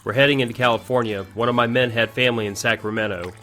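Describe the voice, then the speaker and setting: Guy acting a no-nonsense army major, direct and principled, radio drama, music low underneath. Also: fairly even intonation, quick pace, bright, forceful tone, lightly acted. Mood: earnest, resolute. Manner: direct, earnest, businesslike. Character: firm, principled army major leading his men.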